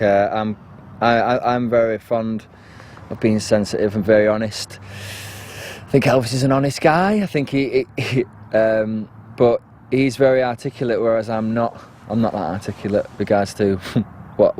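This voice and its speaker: A man's voice: fairly low pitch, a little mellow, slight background noise. Hesitant, self-deprecating male actor. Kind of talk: casual interview chat.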